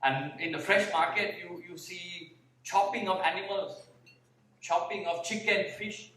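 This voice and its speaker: Thai-accented man, unhurried, deliberate, repeats words, distant mic, roomy hall. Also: sits high, fairly even intonation, pushed and bright. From Thailand (Bangkok). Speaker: panel speaker, vegan activist. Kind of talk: conference panel talk. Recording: echoey hall, mic heard from far.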